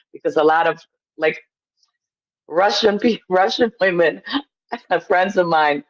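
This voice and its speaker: A woman's voice: fairly low pitch, muffled recording. A woman from Poland, chatting casually as an opinionated call-in guest, emphatic and repeating herself to make a point.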